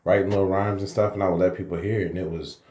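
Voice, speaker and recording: A man from San Antonio, Texas, a rapper guest on a podcast, talking relaxed and slangy. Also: sits low, racing, roomy sound. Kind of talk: casual interview talk.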